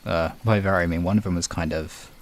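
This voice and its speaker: A man's voice: deep voice, steady tone, fast. A British man chatting casually on a livestream, replying to viewers in an informal, rambling, sweary way. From United Kingdom. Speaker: livestream host.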